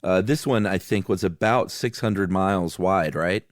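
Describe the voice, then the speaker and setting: A man's voice: deep voice, moderate pace, no echo, clean studio sound. A man co-hosting a podcast, chatting in a laid-back, informal way. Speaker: podcast co-host. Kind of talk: casual chat.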